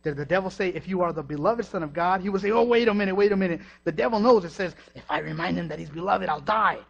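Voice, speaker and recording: A young man preaching a sermon with emphatic, animated delivery, calling out to the congregation. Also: high-pitched, fast talker, creaky. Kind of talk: energetic sermon. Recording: dull lo-fi recording.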